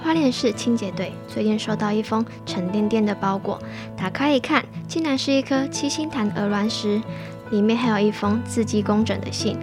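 A woman's voice: medium pitch, moderate pace, over music. A young woman with a Taiwanese Mandarin accent, narrating a scripted news story as a student reporter. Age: young adult.

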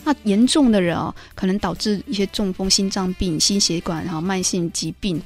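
A woman's voice: medium pitch, a little fast, a little mellow, soft background music. A woman with a Taiwanese Mandarin accent co-hosting a radio show in a chatty way, speaking conversationally to listeners with a congested, slightly nasal voice. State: congested with a runny nose. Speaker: radio co-host.

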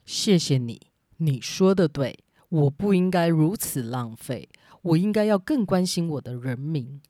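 Woman putting on a low-pitched, commanding storybook king. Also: expressive, unhurried, mellow, no room sound, studio quality. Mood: gracious, humbled. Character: stern but grateful old-tale king, male.

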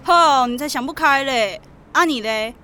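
Young woman as a high-pitched cheerful Taiwanese schoolgirl. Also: moderate pace, pushed and bright, a little hiss or hum. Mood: chatty, teasing. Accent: Taiwanese Mandarin. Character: cheerful, teasing, caring teenage schoolgirl best friend. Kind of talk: amateur audio drama acting. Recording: roomy echo under music. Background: music.

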